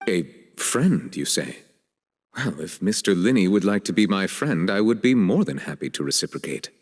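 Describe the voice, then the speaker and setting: Brief: stately polite male judge, deep voice, game cutscene with long reverb. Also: sing-song, full character voice. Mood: courteous. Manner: formal, courteous, measured. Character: stately male chief justice, formal and polite. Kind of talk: video game cutscene dub.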